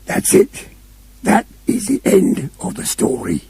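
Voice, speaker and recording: An actor playing a lonely, gentle daddy bear in a comic radio play, husky and hesitant, with a plaintive slowness. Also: male voice, high-pitched, huge pitch swings, no room sound, full character voice. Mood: wistful.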